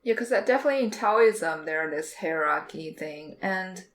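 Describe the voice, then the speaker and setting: A woman's voice: lowish voice, lively intonation, normal speed. A woman chatting as a podcast guest, hesitant and restarting her words, in a roomy recording. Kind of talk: casual discussion. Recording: roomy echo, faint background noise.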